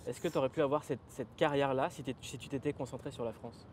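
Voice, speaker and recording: A man hosting a lively TV talk show, asking quick, teasing questions. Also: medium pitch, slight background noise.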